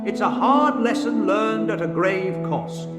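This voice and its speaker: A man's voice: sits high, expressive, a little slow. A man playing a remorseful opera manager in an audio drama, speaking formally and apologetically. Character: remorseful male opera manager accepting blame. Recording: reverberant hall under loud music.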